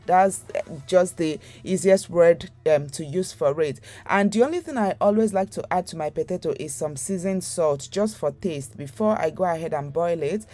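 A woman's voice: fairly low pitch, dark tone. A young woman hosting a home cooking channel, talking viewers through a recipe in a chatty, rambling way with lots of fillers and restarts. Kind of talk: casual recipe voice-over.

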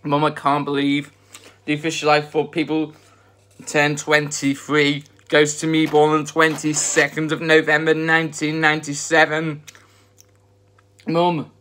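Slow, earnest young man with a flat voice. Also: on the high side. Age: 20s. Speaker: vlogger. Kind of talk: casual eating vlog chat. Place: bedroom at home, indoors.

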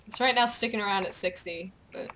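A young woman chatting casually while gaming, excitable and playful, with goofy exclamations and bits of on-screen text read aloud. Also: medium pitch, brisk. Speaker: gamer, video creator. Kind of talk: casual gameplay chat, reading quest captions aloud.

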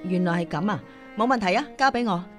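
A woman's voice: medium pitch, quick pace, faint music behind, natural voice. A woman acting in a Cantonese radio drama as a caring, firm singing teacher, earnest and admonishing, with a Hong Kong accent. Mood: composed, helpful.

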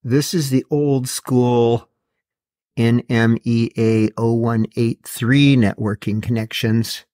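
A man's voice: medium pitch, fairly even intonation, slow pace, dark tone. Plain-spoken man, casual unboxing walkthrough. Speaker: video host. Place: indoor garage workshop.

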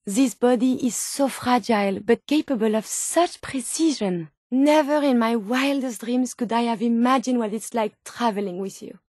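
Woman acting as female robot companion, French accent, slightly high pitch, dry studio sound, formal, precise, inquisitive, earnest, video game character. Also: moderate pace, hardly any pauses, darkish tone, no echo, lightly acted. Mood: tender, wistful.

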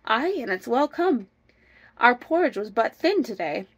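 A woman voicing an excitable, eager cottage wife who exclaims her lines in a storybook fairy tale. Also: on the high side, a little slow, slightly rough, full character voice. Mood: delighted.

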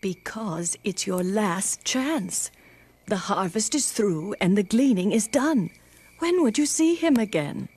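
Insistent old matriarch, breathy husky voice, unhurried, coaxing, animated Bible story. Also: female voice, medium pitch. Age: older. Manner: coaxing, firm. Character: kindly, insistent old mother-in-law.

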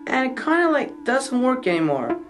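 A woman's voice: sits high, very animated, moderate pace, over music. A woman playing a sheepish, apologetic little brother in a children's audio story, hesitant and childlike.

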